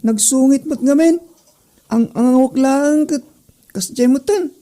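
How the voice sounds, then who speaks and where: A woman's voice: sits high, unhurried, mellow. A woman with an Ilocano accent playing a cheeky, mischievous schoolboy who teases everyone in a comedy drama. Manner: teasing, mischievous. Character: cheeky, teasing troublemaker schoolboy Joel.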